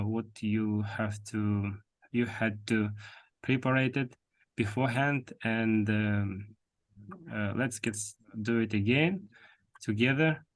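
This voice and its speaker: Slow hesitant man. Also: medium pitch. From Russia. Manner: hesitant, many fillers and restarts. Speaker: host of an English speaking club. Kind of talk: casual online club meeting.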